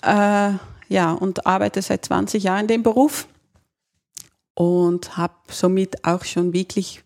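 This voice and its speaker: A middle-aged woman with a Dutch accent, an ergonomist explaining her work in a relaxed interview and laughing easily. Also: mid-range voice, slow pace, gravelly. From Netherlands. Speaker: ergonomist, guest. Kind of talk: casual explanatory chat.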